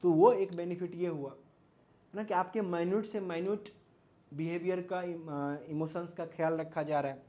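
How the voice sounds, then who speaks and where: A man with an Indian accent teaches in an informal, explanatory way. His voice sits a little high. He poses rhetorical questions and adds small check-in tags as he goes. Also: steady tone, moderate pace, dark tone. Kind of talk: informal explanatory lecture mixing Hindi and English.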